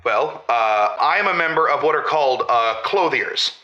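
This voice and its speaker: Very sharp, echoing earnest caller. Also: male voice, medium pitch, expressive, moderate pace, lo-fi sound. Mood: earnest, proud. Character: earnest, nerdy male caller, devoted club enthusiast. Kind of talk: comedic audio drama of a call-in show.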